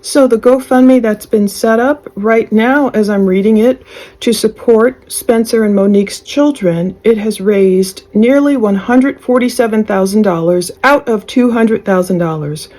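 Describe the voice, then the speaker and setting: A woman's voice: fairly high pitch, unhurried, dark tone. A woman presenting true crime news updates in a conversational, speculative way, speaking directly to viewers.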